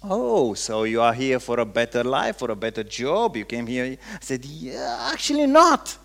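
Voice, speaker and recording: A male pastor from Romania with a Romanian accent, preaching a sermon in emphatic, halting phrases and repeating words for weight. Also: mid-range voice, very animated, vocal fry, a bit bright.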